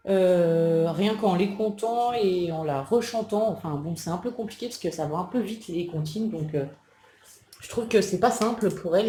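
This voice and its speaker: A woman's voice: mid-range voice, warm tone, reverberant. A mother vlogging from home in a casual, rambling way, with many fillers and self-corrections. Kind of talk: casual chat to camera.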